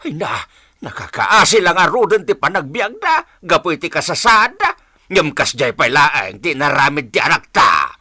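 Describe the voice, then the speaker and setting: A sympathetic, gossipy neighbour in Ilocano Filipino radio drama. The voice is slightly low but bright and very animated, recorded dry. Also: female voice, moderate pace, breathy edge, dry recording, heavily acted. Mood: surprised, pitying.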